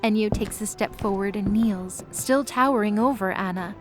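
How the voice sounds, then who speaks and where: A woman's voice: mid-range voice, normal speed. A woman narrator reading a scripted dramatic story. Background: music bed.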